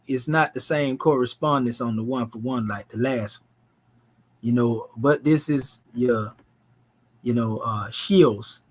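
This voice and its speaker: A man, teaching a language class in a relaxed, folksy way. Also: medium pitch. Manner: relaxed, folksy, dialect grammar.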